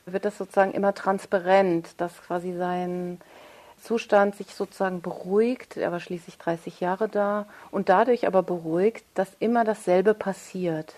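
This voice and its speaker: Female author as interview guest, mid-pitched voice, thoughtful and articulate explanations. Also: steady tone, moderate pace, a little mellow. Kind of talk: reflective interview conversation.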